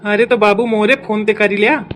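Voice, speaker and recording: A woman's voice: mid-range voice, fairly even intonation, a little fast, a little hiss or hum, close to an everyday voice. A woman voicing a doting, naive young village husband in an Awadhi cartoon comedy, with an Awadhi accent. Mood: doting, eager.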